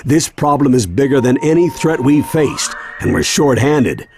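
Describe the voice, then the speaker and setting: An actor playing a wise, steady old American grandfather with a gravelly voice in a cartoon video game. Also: mid-range voice, a little slow, noisy background. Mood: grave, resolute. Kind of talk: animated game cutscene acting.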